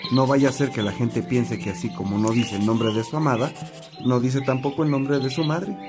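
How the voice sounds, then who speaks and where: Actor as genial priest Alipio, music behind. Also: male voice, medium pitch, normal speed, natural voice. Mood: instructive, genial. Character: Alipio, genial priest and old companion, fond of reminiscing. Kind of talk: Spanish-language religious radio drama acting.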